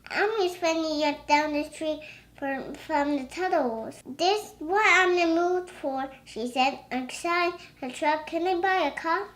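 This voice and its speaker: A young child reading a storybook aloud haltingly, breaking into excited chatter. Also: high voice.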